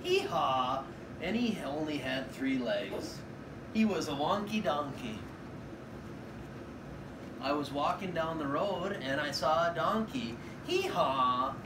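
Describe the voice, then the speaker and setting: Playful dad reading aloud, distant and echoey. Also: male voice, fairly high pitch, expressive, slow pace. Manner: rhythmic sing-song repetition, exaggerated for children. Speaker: father reading aloud to children. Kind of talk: playful picture-book read-aloud. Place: small home living room with children on the floor. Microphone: far camera across the room. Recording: distant, echoey room, clearly noisy.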